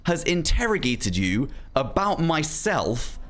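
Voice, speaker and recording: A man's voice: a little high, sing-song, slow pace, a bit bright, full character voice. A man playing a confused, nervous young character who stammers and asks anxious questions. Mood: worried. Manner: flustered, stammering.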